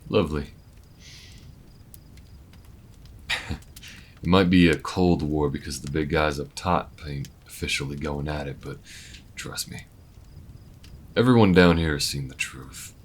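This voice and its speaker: A man playing an easygoing, weathered alien bounty hunter in an intimate audio roleplay, talking in a folksy, unhurried way with murmured asides. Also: very deep voice, breathy edge, heavily acted. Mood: wry, weary.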